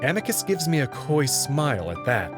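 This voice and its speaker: A man's voice: on the high side, big pitch swings, moderate pace, music in the background. A man playing a bewildered young human who narrates his own story in a visual novel and speaks hesitantly. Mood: narrating, bewildered. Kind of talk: first-person visual novel narration and dialogue.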